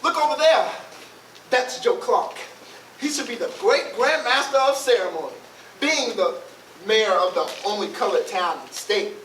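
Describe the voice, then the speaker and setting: Man acting high-pitched, folksy Southern announcer. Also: lively intonation, normal speed, fairly bright tone. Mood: eager. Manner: declaiming, Southern folk dialect. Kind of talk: staged play reading. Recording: roomy, distant mic, faint noise.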